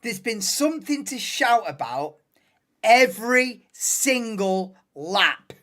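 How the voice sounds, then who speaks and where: A woman hosting a live race commentary stream, excitable and bursting into shouts and laughs, her voice going hoarse. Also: a little high, takes it slowly. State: losing voice, hoarse.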